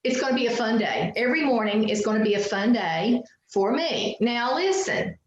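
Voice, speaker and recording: A woman's voice: on the high side, expressive, normal speed, lo-fi sound. A middle-aged woman from Southwest Virginia with a strong Appalachian twang, telling folksy stories as an interview guest. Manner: folksy, chatty, laughs easily, many fillers. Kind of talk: casual storytelling interview. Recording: very echoey room with faint noise.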